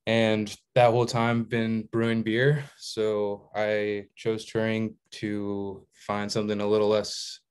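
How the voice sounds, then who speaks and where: A man's voice: fairly low pitch, monotone, takes it slowly, few pauses, a little mellow, clear, resonant tone. A man from Oklahoma, easygoing as he introduces himself on a video call from his home. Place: home room.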